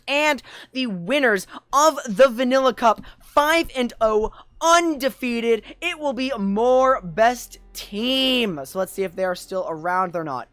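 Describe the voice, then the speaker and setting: A tournament host on a livestream with a medium-pitched voice that is going from long hours of talking. The delivery is casual and appreciative, aimed straight at viewers. Faint music plays behind the voice. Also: pushed and bright. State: losing voice after two days of talking. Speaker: tournament host and streamer caster. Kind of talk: casual esports livestream wrap-up, chatting with viewers.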